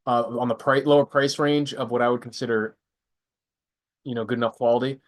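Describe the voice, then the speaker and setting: A man's voice: medium pitch, fairly even intonation, a little fast. A young adult man with a stuffy, congested voice, hosting a casual live stream and rambling through product picks with frequent self-corrections. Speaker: prepper channel host.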